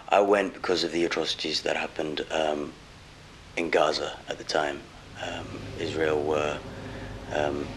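A young English man giving an earnest documentary interview, often pausing with ums as he recounts events. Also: very deep voice, fairly bright tone, slight background noise. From England. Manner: earnest, pauses with frequent ums. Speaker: interviewee, former protester. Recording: music bed underneath.

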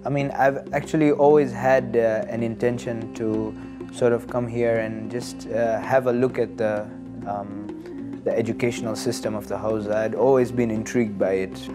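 A man in his early twenties from Tanzania, speaking as an interview guest in a measured, hesitant and earnest way. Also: medium pitch, steady tone. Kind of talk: studio interview, personal reflection. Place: studio. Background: plucked guitar music.

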